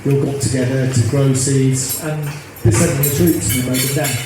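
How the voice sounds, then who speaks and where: A man's voice: mid-range voice, steady tone, fairly quick, music in the background. A man in his fifties, an activist being interviewed, talking earnestly in a rambling way that repeats itself. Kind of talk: casual interview. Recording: echoey, noisy phone recording.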